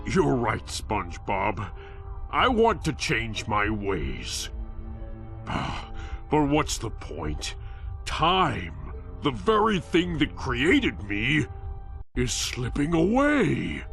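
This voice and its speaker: Slow, creaky, woeful blob villain. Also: male voice, medium pitch, wildly swooping pitch, fairly bright tone, faint music behind, heavily acted. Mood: resigned. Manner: slow, earnest, dramatic pauses. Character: giant sentient booger villain, childlike, woeful, turning good. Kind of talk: cartoon game voice acting.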